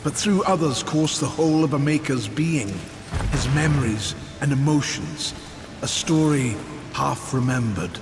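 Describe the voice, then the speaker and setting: Solemn elderly craftsman sage for a fantasy game, unhurried delivery, long reverb, music underneath. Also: medium pitch, lively intonation. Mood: solemn, explaining. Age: older. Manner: solemn, measured. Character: weary old male Maker, solemn mentor. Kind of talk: video game character acting.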